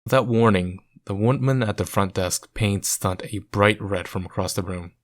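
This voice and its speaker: A man's voice: lowish voice, steady tone, moderate pace. A man reading a visual novel aloud in a narrating style, with casual asides.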